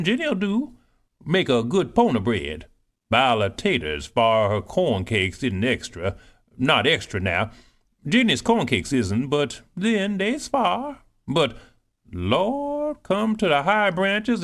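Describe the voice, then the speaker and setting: A proud older cook, put on by a male reader, pitched high and swooping wildly up and down as she bustles and brags. Scolding, lively and full of herself, like a storybook kitchen boss. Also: exaggerated voice. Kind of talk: audiobook character reading.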